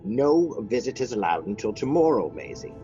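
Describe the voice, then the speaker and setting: An actor playing a sardonic family doctor in a radio mystery, with a dry, measured manner. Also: male voice, medium pitch, sing-song, mellow, lo-fi sound, faint music behind, natural voice. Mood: dry authority. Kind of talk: radio mystery drama acting.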